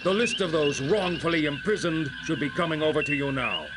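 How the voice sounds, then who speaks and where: A man's voice: fairly high pitch, expressive, fairly quick, loud music behind the voice, lightly acted. Man playing a sneering space pirate leader. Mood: scornful, demanding. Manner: sneering, taunting. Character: cunning, defiant male space pirate leader holding hostages. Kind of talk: video game voice acting.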